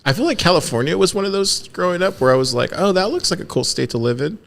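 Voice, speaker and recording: A man's voice: sits high, animated, gravelly, fairly bright tone. A man from Texas in a casual talk, asking questions and telling stories about growing up. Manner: chatty, questioning, drawn-out storytelling. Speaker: podcast co-host. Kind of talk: casual conversational discussion.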